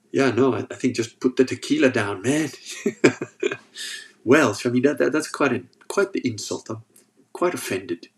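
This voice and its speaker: A man's voice: medium pitch, vocal fry. A man with a sore throat hosting a casual livestream, reading chat and joking as he rambles. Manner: rambling, joking, restarts often.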